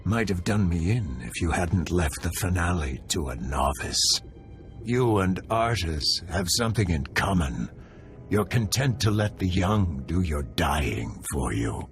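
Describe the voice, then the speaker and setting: A man's voice: low voice, sing-song, a little slow, heavily acted. A man voicing a proud, bitter older traitor in a video game, sardonic and theatrical. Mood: bitter, taunting. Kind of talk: dramatic video game villain dialogue. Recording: faint game music behind the voice.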